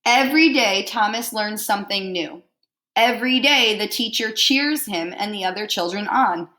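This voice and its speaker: A woman teacher reading a picture book aloud to children, expressively and deliberately, pausing to put simple questions to them. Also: medium pitch, sharp tone. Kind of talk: storybook read-aloud with questions to young listeners.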